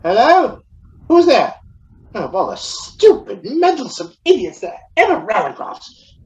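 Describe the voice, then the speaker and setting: A man playing an irascible, scornful gentleman in a radio drama, sputtering and exclaiming as he blusters. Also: very high-pitched, wildly swooping pitch, unhurried, heavily acted, low-quality recording. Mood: indignant, exasperated. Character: irascible, blustering male visitor trapped in a coal mine. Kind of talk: stage-like radio drama acting.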